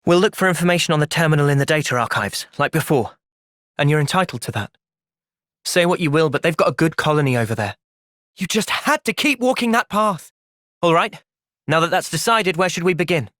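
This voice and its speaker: An actor playing a thoughtful, gentle but resolute young male soldier in a video game, with a British accent and a sincere, measured delivery. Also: on the high side, animated, no room sound, clean studio sound. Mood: calm, considering. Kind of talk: video game cutscene voice acting.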